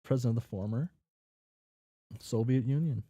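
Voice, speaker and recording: A man's voice: medium pitch, normal speed, mellow, no echo, clean studio sound. A man casually reading a story aloud with off-the-cuff commentary, tripping over and repeating words and swearing freely. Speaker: reader reacting to a fan fiction.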